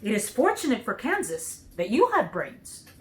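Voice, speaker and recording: A woman's voice: on the high side, huge pitch swings, moderate pace. A woman voicing a cheerful, naive scarecrow in a storybook, speaking earnestly and with emphasis. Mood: earnest, puzzled.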